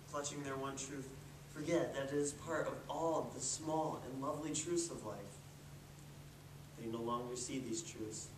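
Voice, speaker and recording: Wise ancient storybook turtle male performer, gentle and grave, poetic delivery; noisy, distant recording. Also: mid-range voice, close to an everyday voice. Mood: patient, reassuring. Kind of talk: readers theatre storybook performance. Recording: roomy, distant mic with clear noise.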